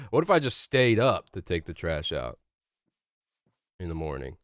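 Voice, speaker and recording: A young male streamer with a low, medium-deep voice talks loosely to his chat while he plays, wandering from thought to thought with plenty of "like" and restarts. The sound is dry and close, as if right into a desk mic. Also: huge pitch swings, takes it slowly, no echo. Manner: rambling, filler-heavy, laid-back. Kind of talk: casual livestream chat while gaming.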